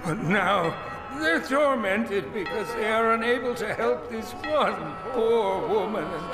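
An actor playing the mournful, ominous ghost of a dead banker in a theatrical radio drama, with wailing, drawn-out, solemn pronouncements. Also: male voice, high-pitched, exaggerated voice. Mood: tormented. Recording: cavernous long reverb with music behind.

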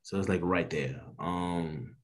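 A man's voice: low-pitched, slow. A man in his thirties from Illinois, a podcast guest chatting in an easygoing, laid-back way with casual slang. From East Saint Louis, Illinois. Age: 30s.

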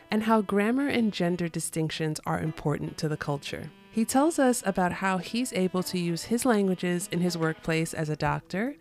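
A woman hosts an interview podcast, sounding upbeat and welcoming. Her voice sits a little low for a woman. Soft music plays faintly behind her. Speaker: podcast host.

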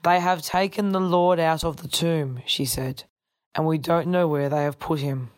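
A woman's voice: sits low, fairly even intonation, moderate pace, dry recording. A woman reading a Gospel passage aloud in a formal scripture-reading style. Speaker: scripture reader.

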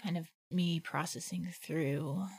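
Slow, gravelly-voiced woman musing aloud. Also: low-pitched, fairly even intonation, darkish tone. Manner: reflective, thinks aloud with many "like" fillers. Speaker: podcast co-host. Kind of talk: casual faith conversation.

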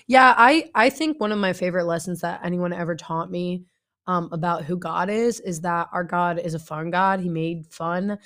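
A woman's voice: on the low side, moderate pace, fairly bright tone. A woman in her twenties, a youth pastor co-hosting a podcast in easy slangy chat with many fillers. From Northeast Ohio. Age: 20s. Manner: laid-back slangy talk, many fillers. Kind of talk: casual chat.

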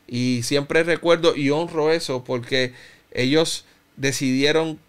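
A man in his thirties, born in Cuba, with a Cuban Spanish accent softened by years in Puerto Rico, giving a personal testimony in a halting, reflective way with many fillers and restarts. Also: on the high side, fairly even intonation, clear, resonant tone. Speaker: interview guest.

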